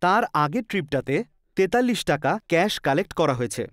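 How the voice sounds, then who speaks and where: A man's voice: on the high side, sing-song, fast, no echo, studio quality. A man reading scripted instructional narration for a training film.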